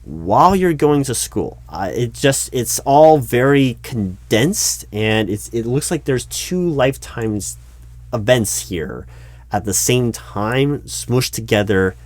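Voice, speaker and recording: A man playing a baffled, exasperated recruiting manager in a one-sided ASMR job interview roleplay, speaking aloud with halting, repeated words. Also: medium pitch, animated, darkish tone. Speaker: roleplay performer.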